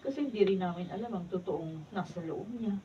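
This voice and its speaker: Actress as regretful daughter, low mellow voice, distant muffled sound. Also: female voice, brisk, a little hiss or hum, natural voice. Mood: remorseful. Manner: resigned, humble.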